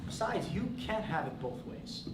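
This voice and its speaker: A man plays a wry, sardonic brother with a slightly high voice and dry, needling delivery. He sounds distant in a roomy theatre, with clear noise behind. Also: sing-song, measured pace. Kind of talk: stage play acting. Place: theatre stage. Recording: roomy stage recording from afar with noise.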